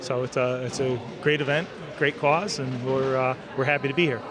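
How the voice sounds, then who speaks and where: A man describes his dishes in a relaxed but halting way, with lots of uhs. A busy crowd chatters behind him. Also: mid-range voice. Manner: hesitant, many uhs. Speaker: restaurant owner. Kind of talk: casual interview answers.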